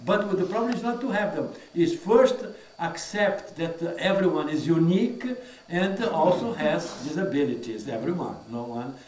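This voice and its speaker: A man who is not a native English speaker, talking informally and personally in a halting, repetitive, emphatic way. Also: on the high side, expressive, darkish tone. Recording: echoey room with background noise.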